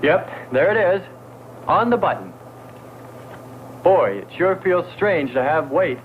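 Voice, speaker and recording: A man's voice: fairly high pitch, very animated, slow pace, narrow, tinny audio. An actor playing an eager, plain-spoken male crewman in a 1960s science fiction film, with a matter-of-fact delivery. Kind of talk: 1960s sci-fi film acting. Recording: thin band-limited old film soundtrack, faint noise.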